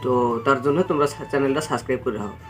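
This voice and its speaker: A man's voice: medium pitch, very fast, a bit bright. A man hosts a casual online video, speaking in a hesitant, repetitive way full of fillers. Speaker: YouTube channel host. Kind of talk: casual video intro and outro to viewers. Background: music.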